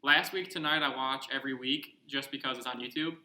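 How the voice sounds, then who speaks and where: A man's voice: medium pitch, steady tone, fairly quick, sharp tone, roomy sound, poor audio. A young man co-hosting a casual podcast, rambling and joking, asking lots of questions. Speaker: podcast co-host. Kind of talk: casual chat.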